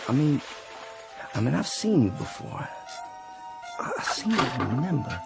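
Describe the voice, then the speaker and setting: A decent, war-worn Confederate sergeant with a Southern drawl. His voice is gravelly and moves widely in pitch, earnest and halting. It is a radio play with faint music underneath. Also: big pitch swings. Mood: guarded, uncertain. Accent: American South. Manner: folksy, hesitant, earnest. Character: war-weary, decent wounded Confederate sergeant, conscience-driven and pleading. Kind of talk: dramatic radio play acting. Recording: studio radio drama over faint music.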